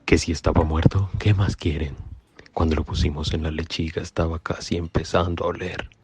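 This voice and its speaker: A man's voice: a bass voice, little pitch movement, brisk. An actor playing a blunt, exasperated male villager in a devotional radio drama, in a noisy recording. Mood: indignant.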